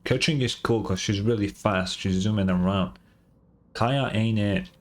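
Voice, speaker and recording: A man's voice: fairly low pitch, unhurried. A man doing casual live gameplay commentary as a streamer, talking in an informal, slangy, wry way. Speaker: game streamer.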